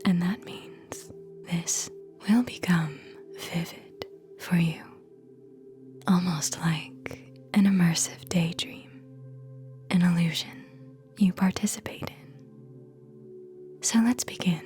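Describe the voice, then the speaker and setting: A woman guiding a whispered ASMR sleep meditation in a soothing, hypnotic manner, speaking in short phrases with long pauses. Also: lowish voice, a little mellow, soft background music.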